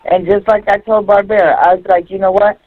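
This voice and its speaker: A woman's voice: medium pitch, level tone, brisk. Woman on a muffled phone line. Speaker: caller on a jail phone call. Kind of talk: casual phone conversation. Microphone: telephone. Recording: dull lo-fi recorded jail phone line.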